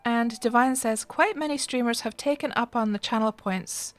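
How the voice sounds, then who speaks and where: A woman's voice: on the high side, normal speed. A middle-aged woman, chatting casually and expressively with viewers as a live streamer.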